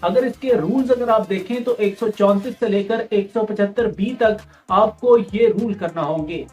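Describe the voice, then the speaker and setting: A woman's voice: mid-range voice, normal speed, darkish tone, soft background music. A woman with a Pakistani accent giving instructional exam-preparation talk as a tutor, explaining and repeating key points with English terms mixed in.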